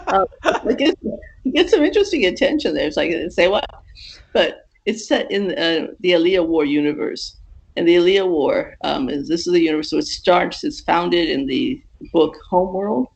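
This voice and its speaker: A woman giving a casual interview as a guest author, explaining things haltingly and rephrasing as she goes. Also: fairly low pitch, lively intonation, gravelly, husky, rough recording.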